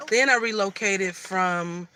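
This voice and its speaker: A middle-aged woman from Michigan with a slightly raspy voice, chatting casually on a live stream and often restarting her sentences. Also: mid-range voice, slow pace, bright, forceful tone, slight background noise, poor audio. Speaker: vlogger.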